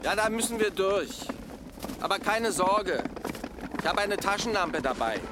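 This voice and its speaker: A man's voice: sits high, lively intonation, unhurried, heavy background noise. An actor playing a bossy, matter-of-fact male maintenance engineer in a German radio drama. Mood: brisk, impatient. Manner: brusque, matter-of-fact, instructing.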